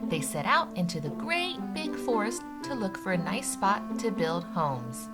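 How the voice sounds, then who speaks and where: A woman reading a children's story aloud in an expressive, playful way, with music behind her. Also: medium pitch. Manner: expressive, playful sound words. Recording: loud music under the voice.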